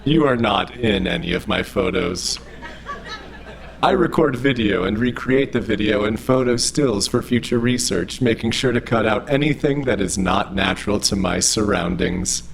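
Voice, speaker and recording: Audio drama robot, male actor: earnest, stilted and courteous, low crackling voice, noisy recording. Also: vocal fry. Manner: formal, stilted, unfailingly polite phrasing. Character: overly friendly, earnest, literal-minded male robot. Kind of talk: scripted audio drama acting.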